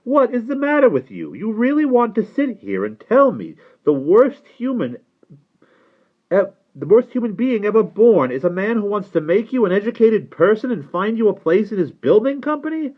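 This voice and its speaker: A man's voice: sits high, very animated, normal speed, narrow, tinny audio, voice pushed up. A man voicing an exasperated, defensive middle-class father who argues insistently with pointed questions. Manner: argumentative, rhetorical questioning, insistent. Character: exasperated father, defensive, pleading with his nephew.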